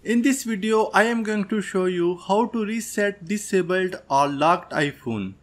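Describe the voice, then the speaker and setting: A man's voice: high-pitched, unhurried. A man presenting a tech tutorial as a scripted voice-over, going through instructions step by step. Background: background music.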